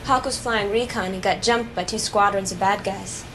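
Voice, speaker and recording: A woman's voice: medium pitch, steady tone, normal speed, lightly acted. Actress as female pilot in a space game, subdued and clipped, noisy room hum behind her. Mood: somber.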